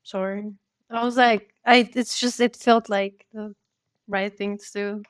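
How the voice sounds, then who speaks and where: A woman's voice: mid-range voice, steady tone, unhurried, clear, resonant tone, dry recording, clean studio sound. A woman hosting a podcast, friendly and eager, sometimes tripping over her words. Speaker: podcast host. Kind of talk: podcast interview.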